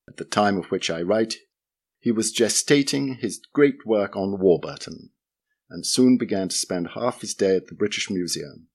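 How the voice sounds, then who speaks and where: Guy reading an old memoir out loud, lowish voice, dry and understated. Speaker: reader of a memoir chapter.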